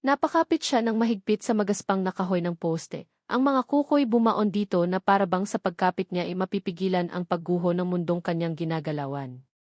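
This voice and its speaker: A woman's voice: lowish voice, fairly quick, darkish tone, no room sound, clean studio sound. A woman with a Filipino accent reading a scripted story as a dramatic narrator.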